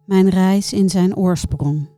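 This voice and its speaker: A woman reciting her own poems in a measured, contemplative way, with deliberate pauses between short phrases. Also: medium pitch, dark tone, no echo, soft background music. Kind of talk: poetry recitation.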